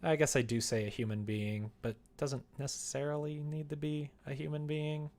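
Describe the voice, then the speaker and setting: A man's voice: on the high side, flat delivery, normal speed, a little mellow. A man chatting casually on a livestream while he works, explaining things in a rambling way and sometimes muttering to himself. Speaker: streamer developing a visual novel.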